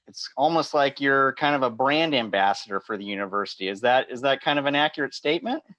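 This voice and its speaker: A man's voice: mid-range voice, lively intonation, fast talker, fairly bright tone, no echo, muffled recording. A man hosting an interview, asking questions in an upbeat, enthusiastic way. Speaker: host. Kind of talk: conference interview show.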